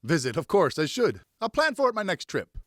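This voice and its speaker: A man's voice: fairly high pitch, wildly swooping pitch, a little fast, a bit bright, no room sound, studio quality, voice pushed up. A man voicing a jovial, wily Frenchman who makes cognac, coaxing and exclamatory, in an audiobook. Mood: jovial, boastful. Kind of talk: audiobook character dialogue.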